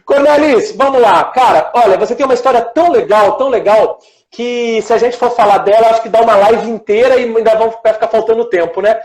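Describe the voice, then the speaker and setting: A man with a high-pitched voice hosts a casual online conversation. His delivery is effusive and colloquial, addressing guest and viewers in an informal, conversational way. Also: fast, darkish tone, rough recording. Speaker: live show host. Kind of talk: casual interview chat.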